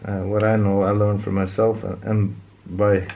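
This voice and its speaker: A Norwegian man speaking English as a second language, talking casually while working on a repair, with halting speech full of restarts and fillers. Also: fairly low pitch, level tone, gravelly, dull audio. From Norway. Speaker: DIY repair vlogger.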